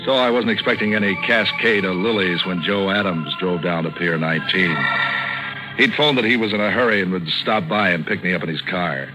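An actor playing a cynical, world-weary American private eye, narrating in a wry deadpan in 1940s radio style. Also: male voice, deep voice, a little fast, loud music behind the voice, close to an everyday voice, poor audio. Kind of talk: 1940s radio acting, first-person narration. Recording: old 1940s radio broadcast recording.

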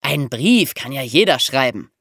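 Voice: medium pitch, a little slow, slightly rough, no echo. A podcast host narrates in a chatty, colloquial style, posing questions and then answering them. The intonation moves up and down with plenty of life. The recording is dry, with no audible room. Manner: animated, colloquial, rhetorical questions. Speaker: podcast host telling true crime stories. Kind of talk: conversational storytelling narration.

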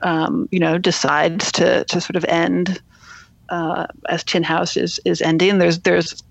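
A woman editor being interviewed on a podcast, answering in a thoughtful, measured way and often restarting her phrases. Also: on the low side, flat delivery, creaky, no room sound, dull audio. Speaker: literary magazine editor, guest.

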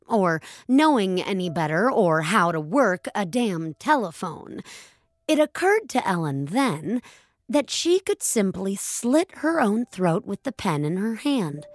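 A woman's voice: mid-range voice, dry recording, clean studio sound. A woman narrating scripted dramatic fiction as a storyteller.

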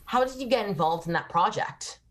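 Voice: medium pitch, lively intonation, a little fast, a bit bright. Enthusiastic young-sounding talk show host. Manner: gushing, enthusiastic. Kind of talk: upbeat celebrity interview.

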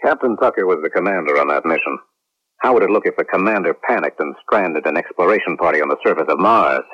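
A deep, husky, scheming colonel. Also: male voice, animated, quick pace. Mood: bitter, boastful. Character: scheming, resentful male colonel, smooth manipulative instructor. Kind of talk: late-1970s radio drama acting. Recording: muffled, lo-fi vintage radio recording.

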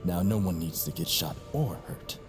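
Super earnest peacekeeper dude, low voice, formal n deadpan, loud music under. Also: male voice, moderate pace. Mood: earnest, satisfied. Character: earnest, pedantic male pacifist fighter seeking calm.

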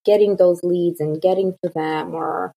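A woman's voice: fairly low pitch, measured pace, gravelly, slightly rough, poor audio. A woman from Kazakhstan with a Kazakh accent, a native Russian speaker, talking casually as a marketing guest on an interview, with plenty of "kinda" and "like". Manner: relaxed, many fillers like "kinda" and "like".

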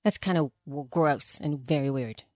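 A woman from El Salvador chatting casually as a podcast co-host, animated and exasperated, her pitch swooping up. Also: normal speed, dry recording, studio quality. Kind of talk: casual chat.

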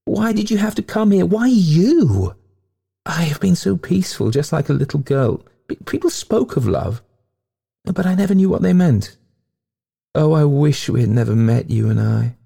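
A man's voice: fairly high pitch, lively intonation, normal speed, vocal fry, dark tone, slightly rough, voice pushed up, full character voice. A man voicing a proud, lovelorn female ghost in a storybook reading, with a wailing, melodramatic, pleading manner. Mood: rapturous, pleading.

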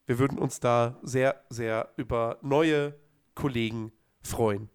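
Hoarse man with a cold. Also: mid-range voice, fairly even intonation, slow. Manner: rambling, many fillers and restarts. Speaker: podcast co-host. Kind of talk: casual chat.

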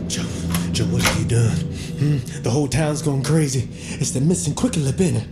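A man's voice: mid-range voice, brisk, close to an everyday voice. An actor playing a loyal man, a concerned friend, in an audio drama. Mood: alarmed. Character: alarmed, loyal Southern friend pleading for sense. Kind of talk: dramatic audio fiction acting. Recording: music behind the voice.

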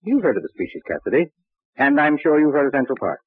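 An actor playing a brisk, wry, patient police inspector with a clipped, businesslike way of talking in an old radio detective drama. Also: male voice, mid-range voice, sing-song, husky, dry recording. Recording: dry, tinny old radio recording.

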